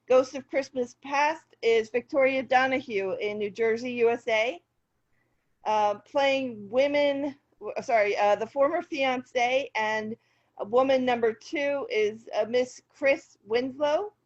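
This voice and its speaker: A woman hosting an online table read, informally introducing and thanking the cast. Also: on the high side. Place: remote video call. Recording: dull video-call audio.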